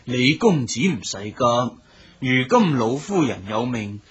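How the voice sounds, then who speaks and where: Slow, deferential old servant. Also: male voice, medium pitch, very animated, highs cut off. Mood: respectful. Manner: unhurried, respectful. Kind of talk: Cantonese period radio drama acting.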